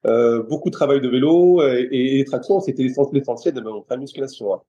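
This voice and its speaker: Mellow-voiced French man, hesitant. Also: medium pitch, fast talker, dull audio. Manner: hesitant, many restarts and fillers. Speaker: guest, personal trainer. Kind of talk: casual interview chat.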